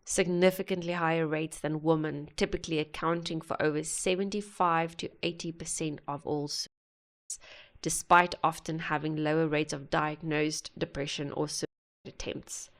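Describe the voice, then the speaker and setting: A woman hosting a music reaction video, talking earnestly and haltingly with many pauses. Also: low-pitched, fairly even intonation. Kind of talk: casual reaction commentary.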